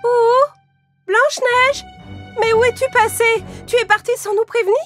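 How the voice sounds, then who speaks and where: A woman's voice: extremely high pitch, fairly even intonation, normal speed, music in the background, pitched above the performer's own voice. A woman voicing a young fairy-tale princess, earnest and exclamatory, in a children's cartoon story. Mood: worried.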